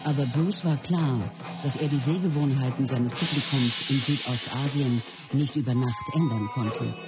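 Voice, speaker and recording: A man's voice: medium pitch, normal speed, over loud music, lightly acted. A man playing an earnest associate who recounts a film star's life in scripted documentary-style radio play narration. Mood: earnest, reflective.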